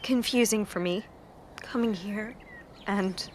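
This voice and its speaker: A woman's voice: medium pitch, slow, darkish tone, slight background noise, lightly acted. An actress playing a troubled, searching daughter in a naturalistic radio drama, direct and wry in the way she talks. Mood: subdued, confiding.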